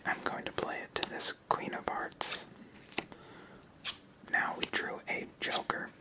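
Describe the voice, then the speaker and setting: A person whispering throughout in an ASMR style, calmly explaining a card game step by step as its host. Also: rough recording. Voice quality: whispered, unvoiced.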